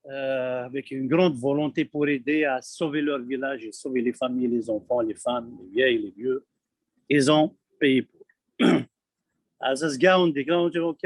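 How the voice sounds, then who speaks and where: A man from Kabylie speaking abroad, giving an urgent, halting testimony full of repeated words. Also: mid-range voice, no room sound, poor audio. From Kabylie, Algeria. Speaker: community member abroad. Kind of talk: impassioned testimony.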